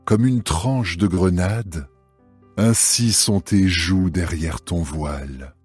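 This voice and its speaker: A man as a deep, slow, adoring lover. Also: a little mellow, slightly rough, soft background music, exaggerated voice. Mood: adoring, solemn. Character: adoring royal lover praising his beloved, solemn. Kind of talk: dramatized scripture reading.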